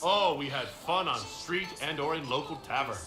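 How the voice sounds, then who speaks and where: A man's voice: fairly high pitch, lively intonation, normal speed, natural voice. An actor playing an inquisitive male leader who asks questions with a dry sense of humor. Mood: curious. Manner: curious questioning, dry wit. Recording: roomy game audio with music.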